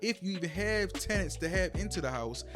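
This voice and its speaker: A man's voice: high voice, lively intonation, brisk, music in the background. A man hosting a reaction video, explaining his views in a casual, lecturing way and often repeating himself. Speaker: commentary host reacting to a clip.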